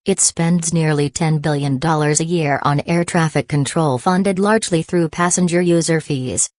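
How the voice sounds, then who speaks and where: A woman reading a scripted news report in a steady, uniform way with no fillers. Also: deep voice, no room sound. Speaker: news reader.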